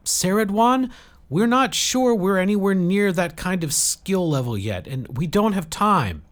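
A man acts out a weary but resolute woman in an adventure audiobook. She speaks bluntly and urgently in short sentences, with a lifted, animated pitch. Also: unhurried. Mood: urgent, frustrated. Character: weary, frank, determined woman leading a desperate group.

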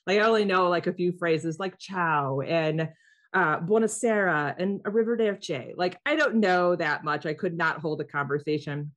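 Female podcast host, lower-pitched, chatty and upbeat. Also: normal speed, fairly bright tone. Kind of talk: podcast interview.

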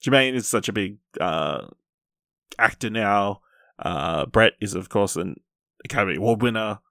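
A man's voice: lowish voice, animated, moderate pace, fairly bright tone, dry recording, clean studio sound. A man hosting a podcast in casual, informal chat, steering the discussion along. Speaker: podcast host.

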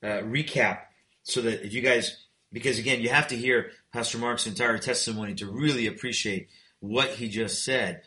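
A man's voice: mid-range voice, moderate pace, sharp tone, roomy sound. A man hosting a talk show, interviewing his guest and recapping the story for listeners with plenty of fillers and restarts. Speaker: host.

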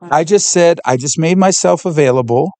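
A man's voice: fairly high pitch, a little mellow, no echo. Earnest man, dry close sound. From Canada. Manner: earnest, heartfelt, pauses to search for words. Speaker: podcast guest, missionary. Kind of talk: personal faith testimony.

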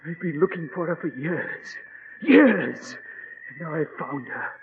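An actor in a 1940s radio drama, playing a confident, excitable archaeologist knight with a husky voice. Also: male voice, a little high, a little slow, a crowd in the background, full character voice. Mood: awed, triumphant. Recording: muffled 1940s radio recording.

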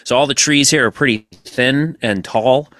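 A man's voice: mid-range voice, normal speed, a bit bright, no room sound. A man from Massachusetts co-hosting a podcast, chatting loosely and swearing freely. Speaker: podcast co-host. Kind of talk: casual podcast chat.

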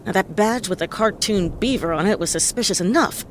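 An actress playing a tough, wisecracking female private detective in a noir audio play, speaking in a deadpan, sardonic way. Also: mid-range voice, a little fast, slight background noise, natural voice. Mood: cutting. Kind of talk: hard-boiled noir radio play acting. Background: prominent music.

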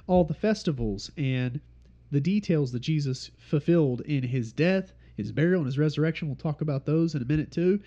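A man with a slightly high voice teaches an informal study group, explaining patiently and circling back to his main points. His nose is stuffed up from congestion. The recording is dull and lo-fi. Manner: steady explanatory teaching, pausing to repeat points.

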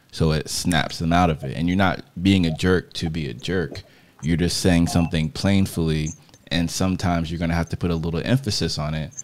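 A man's voice: low-pitched, a little mellow. A man hosting a live talk show, giving his opinions firmly and handing the floor to others. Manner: assertive, opinionated. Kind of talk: casual live show discussion.

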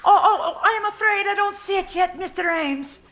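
A woman's voice: very high-pitched, a little fast, a bit bright. A woman playing a superstitious, deferential maid with a folksy, hesitant manner in a live old-time radio play. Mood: humouring, doubtful. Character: superstitious, deferential maid, humouring her employer. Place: live performance at a winery.

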